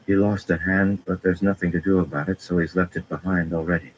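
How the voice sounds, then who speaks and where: A man voicing a sorrowful young woman who speaks sadly and quietly, in an audiobook. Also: deep voice, flat delivery, brisk, a little hiss or hum, natural voice, rough recording. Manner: sad, quiet.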